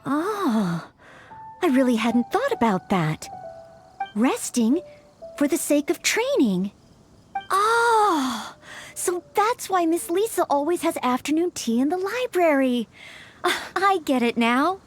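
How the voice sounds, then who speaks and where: An actress playing a polite, eager young maid who dreams of knighthood in a video game, speaking with apologetic hesitance. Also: female voice, sits high, big pitch swings, slow, over music, exaggerated voice. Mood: surprised, obliging.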